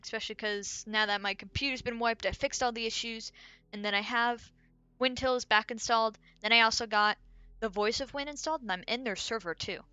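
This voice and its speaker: A woman's voice: medium pitch, pushed and bright, clear, resonant tone, no room sound, dull audio. A woman streamer chatting casually and thinking aloud while she draws, rambling and muttering to herself. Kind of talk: casual chat while drawing. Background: faint background music.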